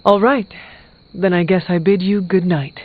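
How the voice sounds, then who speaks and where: An actor playing a steadfast, stern warrior hero in a video game, speaking in a plain, formal, resolute way. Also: male voice, sits high, steady tone, measured pace, narrow, tinny audio. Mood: reassuring. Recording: band-limited game audio with background music.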